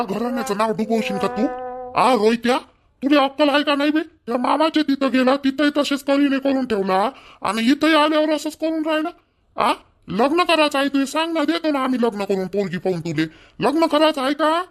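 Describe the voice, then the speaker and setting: A man's voice: very high voice, brisk. A man voicing a stern, exasperated village father in a cartoon, scolding in Vidarbha Marathi with repeated rhetorical questions. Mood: angry scolding.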